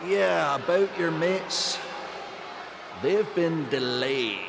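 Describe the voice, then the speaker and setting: An actor with a Scottish accent playing a brooding, scornful, menacing pro wrestler in a video game cutscene. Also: male voice, a little high, very slow, vocal fry, very noisy, heavily acted. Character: brooding, vengeful male pro wrestler in his prime.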